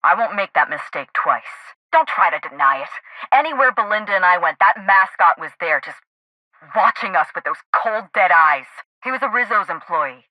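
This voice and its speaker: A woman's voice: fairly low pitch, expressive, moderate pace, pushed and bright, no room sound, poor audio. An actress playing a sardonic, grieving woman out to avenge her sister in a video game, speaking articulately with dry, controlled sarcasm. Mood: bitter, accusing. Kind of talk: video game dialogue acting.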